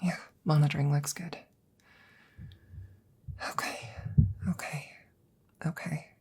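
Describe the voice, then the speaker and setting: A woman playing an anxious, caring scientist in a whispered ASMR audio roleplay, halting and repeating herself nervously. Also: low voice, flat delivery, darkish tone, exaggerated voice. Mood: tense, focused. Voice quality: breathy, husky whisper. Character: anxious female scientist tenderly saving her dying best friend.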